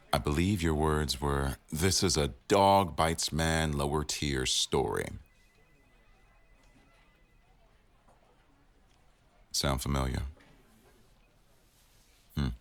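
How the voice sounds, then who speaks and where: Very low male voice, acted: demanding newsroom boss for audio roleplay, dry wit, firm authority. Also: fairly bright tone, full character voice. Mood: sardonic, challenging. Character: exacting, sardonic male executive news producer, the boss. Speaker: voice actor in a boyfriend audio roleplay. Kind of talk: scripted ASMR roleplay, addressing staff at a meeting.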